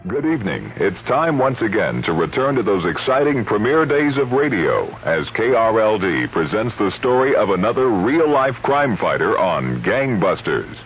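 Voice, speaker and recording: Lively-voiced radio host introducing a program. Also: male voice, lowish voice, moderate pace, few pauses. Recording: dull radio recording with hiss.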